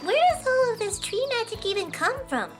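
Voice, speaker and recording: Very high-pitched little opossum girl. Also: sing-song, brisk. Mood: curious, skeptical. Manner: inquisitive, bluntly skeptical. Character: little opossum girl, curious, blunt, pestering daughter. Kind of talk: animated fandub acting. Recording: loud music behind the voice.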